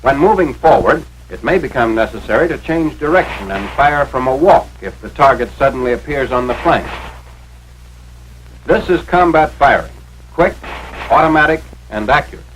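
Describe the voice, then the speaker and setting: A man's voice: mid-range voice, lively intonation, moderate pace, slight background noise, rough recording. An American man reading scripted narration for a 1940s military training film in an authoritative, instructional manner, on a vintage film soundtrack.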